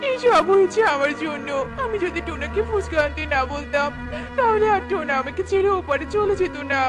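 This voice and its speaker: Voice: very high voice, expressive, brisk, voice pushed up. A performer voicing a grieving, humble female little bird in a cartoon, with music behind. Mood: distraught, grieving.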